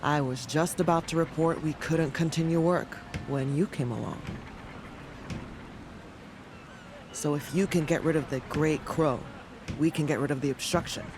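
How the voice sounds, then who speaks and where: A man playing a brisk, candid foreign-born carpenter who leads a travelling crew in a video game cutscene. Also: a little high, noisy background, natural voice. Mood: matter-of-fact. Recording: game music behind the voice.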